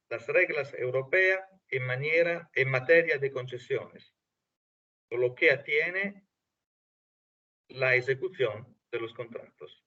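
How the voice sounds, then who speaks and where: A man with an Italian accent, a native Italian speaker, giving an academic talk haltingly, with many fillers. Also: medium pitch, sharp tone. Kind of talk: academic presentation. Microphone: video call. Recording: distant lo-fi video call audio.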